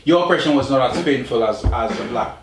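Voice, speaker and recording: A man's voice: medium pitch, lively intonation, fairly quick. A young man arguing insistently in a casual chat, often cutting in to take the floor. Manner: keeps cutting in with repeated interjections, insistent. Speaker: podcast co-host. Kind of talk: casual debate among friends. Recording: echoey room, faint noise.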